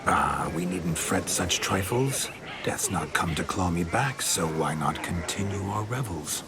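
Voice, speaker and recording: A man's voice: sits low, expressive, measured pace, over music. A man voicing the carefree, amorous ghost of a nobleman in a video game, with flowery romantic speech.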